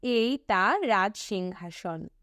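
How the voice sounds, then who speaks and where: A woman narrating a storybook as an audiobook reader, in a measured, expressive storytelling manner with deliberate breaks between phrases. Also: medium pitch, no room sound, studio quality.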